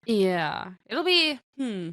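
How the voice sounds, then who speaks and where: A woman co-hosting a podcast, chatting casually with a bright, forceful edge to her voice. Her sentences wander, trail off and restart. The recording is dry and close. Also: fairly high pitch, big pitch swings, very slow, no room sound. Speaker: podcast co-host. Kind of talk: casual chat.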